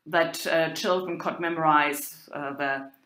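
A woman university lecturer talking over slides, hesitant, with many fillers and pauses. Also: deep voice, fairly even intonation, a bit bright. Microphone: recorded voice-over for slides. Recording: roomy echo.

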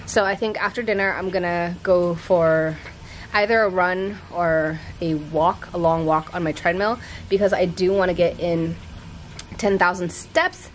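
A woman's voice: lowish voice, a little slow, slight background noise. An American woman in her thirties chatting casually and expressively to camera in an informal vlog, held at arm's length. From Thailand-born, raised in the United States. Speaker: fitness vlogger. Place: outdoor patio by a pool at home.

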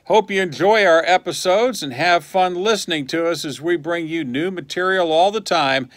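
A middle-aged radio host in a studio, speaking in a smooth announcer style. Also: male voice, high-pitched, moderate pace, a bit bright, no echo.

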